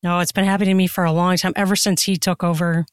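A woman's voice: lowish voice, level tone, fast, smooth, dry recording, clean studio sound. A woman chatting casually and emphatically as a guest in a podcast interview.

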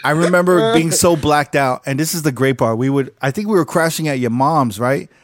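An animated man tells loose stories full of slang and swearing. His voice sits a little high, and the sound is dry and close to the mic. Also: brisk, no room sound. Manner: animated storyteller, profane, slangy. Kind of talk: casual chat.

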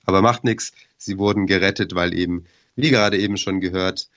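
A man with a cold, hoarse, hosting a casual sports podcast and hesitating with many filler sounds. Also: sits low, steady tone, fairly quick, a bit bright, muffled recording. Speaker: podcast co-host.

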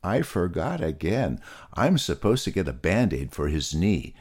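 A man voicing a gentle, absent-minded male pig who talks in a mild, wondering way. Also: low voice, big pitch swings, unhurried, breathy edge, exaggerated voice. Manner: gentle, dreamy.

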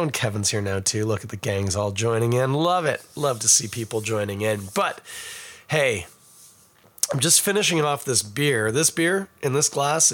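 A middle-aged Canadian man, hoarse and raspy from a cold, hosting a casual podcast and rambling in a self-mocking way to viewers. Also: medium pitch, a bit bright. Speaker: podcast host. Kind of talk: casual live-stream chat.

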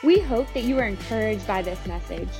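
A woman reading a scripted podcast intro in a bright, upbeat way. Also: medium pitch, expressive, fairly quick, gravelly. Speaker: podcast announcer. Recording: music bed behind the voice.

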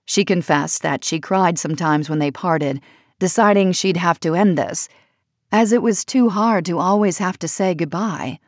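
A woman reading a personal essay as audiobook narration, in measured, expressive phrasing. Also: on the low side, dry recording, studio quality.

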